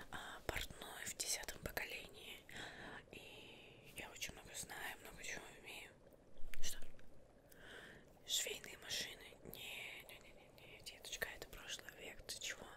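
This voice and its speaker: Whispering witch tailor played by a woman. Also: natural voice. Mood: musing, proud. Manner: hesitant, muttering, repeating words to herself. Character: busy, chatty female witch tailor, proud and slightly scatterbrained. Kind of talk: Russian ASMR roleplay, whispered. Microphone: close microphone.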